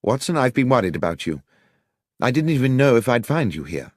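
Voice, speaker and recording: A man with a British accent voicing an anxious, friendly Victorian gentleman journalist in an audiobook, earnest and genteel. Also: medium pitch, big pitch swings, brisk, no echo, studio quality, voice pushed up.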